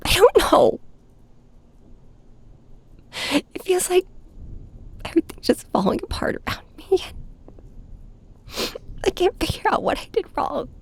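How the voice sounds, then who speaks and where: A woman playing a heartbroken young superhero in an intimate audio drama, speaking softly and haltingly with a breathy voice. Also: very high voice, big pitch swings, pitched above the performer's own voice, heavily acted. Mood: upset, tearful. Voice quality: soft, breathy. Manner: hesitant, halting, hushed. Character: heartbroken young flying superhero confiding in and snapping at nemesis. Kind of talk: first-person audio drama narration, ASMR hybrid.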